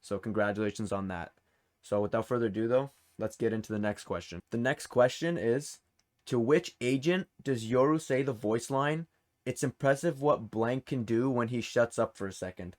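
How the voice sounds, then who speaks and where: A man's voice: mid-range voice, moderate pace, a little mellow. Man hosting a casual quiz. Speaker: quiz host. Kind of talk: casual game-show hosting.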